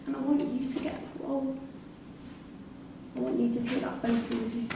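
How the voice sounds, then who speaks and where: An actress in a live staged reading playing a disillusioned, confrontational prison worker with an emphatic, pointed delivery. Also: female voice, sits high, little pitch movement, moderate pace, vocal fry. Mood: weary, angry. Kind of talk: live theatre acting. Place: theatre performance space in Salford. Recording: echoey hall, distant mic, faint noise.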